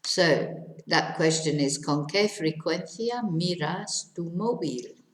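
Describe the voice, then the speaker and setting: A middle-aged woman, teaching to Spanish speakers, repeating each question slowly and clearly. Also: lowish voice, expressive, echoey room, slight background noise. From England. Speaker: English teacher. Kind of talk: language lesson for Spanish speakers.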